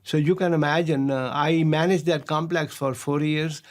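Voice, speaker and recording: An older man, his voice sitting a touch high for a man. He tells his stories in a relaxed, halting way, stopping on small filler sounds and breaking into easy chuckles. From Pakistan. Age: 60s. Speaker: professor, interview guest. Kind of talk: relaxed storytelling interview.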